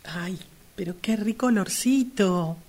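A woman's voice: mid-range voice, unhurried, crowd noise, close to an everyday voice. An Argentine woman playing a hesitant sister in love, a longtime caregiver, in an amateur radio drama. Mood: friendly, hesitant.

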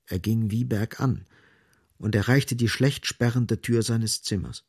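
A man's voice: mid-range voice, a little slow, a little mellow, husky, no echo, studio quality. A man reading literary scripted narration as the narrator of a radio drama.

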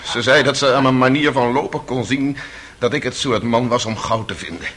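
A man's voice: mid-range voice, fairly quick, close to an everyday voice. An actor playing an anguished, defiant man on trial in a radio drama. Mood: desperate, bitter. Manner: impassioned, desperate. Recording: noisy old radio play recording.

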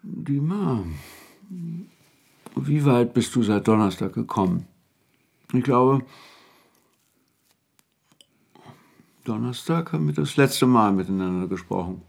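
An actor playing a calm, cerebral, pensive man in a radio play, terse and deliberate, with a husky, gravelly voice. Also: mid-range voice. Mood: thoughtful. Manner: terse, deliberate, slips into slowly recited verse.

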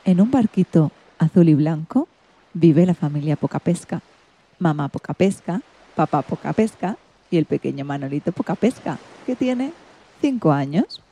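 A woman's voice: low voice, lively intonation, moderate pace, dark tone, husky, muffled recording. A woman from presenting and narrating a scripted radio story for children.

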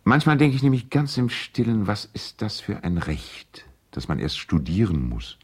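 Deep-voiced, courteous, earnest lawyer friend. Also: male voice, sing-song, normal speed, slightly rough. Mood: wry, confiding. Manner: earnest, personal, pleading. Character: courteous, worried male lawyer and loyal family friend. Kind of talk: 1970s German radio play, letters read aloud.

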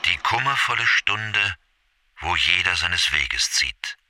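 A man's voice: deep voice, big pitch swings, slow pace, sharp tone, dry recording, clean studio sound. A man reciting short literary epigraphs from a script. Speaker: reader of chapter epigraphs.

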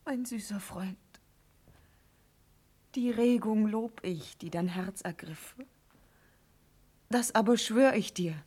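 An actress playing an ardent, pleading young noblewoman in a classical verse radio drama, fervent and declamatory, often dropping into a breathy whisper. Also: mid-range voice, slow pace, pauses often, a little mellow. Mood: hushed, tender.

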